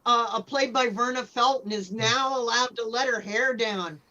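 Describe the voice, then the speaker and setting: A woman's voice: fairly high pitch, normal speed, pushed and bright, lo-fi sound. A woman moderating a casual panel discussion in an informal, conversational way.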